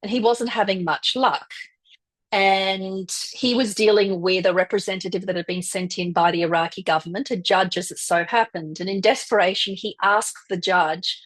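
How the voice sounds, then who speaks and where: A woman speaker giving a personal storytelling talk in a measured, articulate and dramatic way. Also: medium pitch, fairly even intonation, low-quality recording. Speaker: guest speaker, former military officer.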